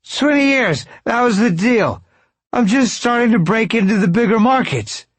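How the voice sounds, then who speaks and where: A man voicing a panicked drunken hustler in an audiobook, with a high, slurred voice and a frantic, wheedling manner. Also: expressive, moderate pace, no echo. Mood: pleading, boastful. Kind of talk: audiobook character acting.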